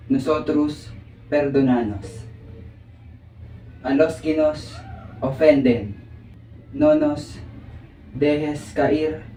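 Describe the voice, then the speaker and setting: A man reciting a prayer solemnly, phrase by halting phrase. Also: a little high, dark tone. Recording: roomy, distant, music behind.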